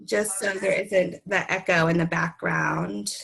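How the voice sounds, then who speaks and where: A woman's voice: fairly low pitch, fairly even intonation, measured pace, vocal fry, fairly bright tone. Woman with a worn voice teaching a webinar. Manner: friendly, many ums, repeats herself. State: voice worn from recent illness. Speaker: presenter of an online conference session. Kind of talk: webinar presentation.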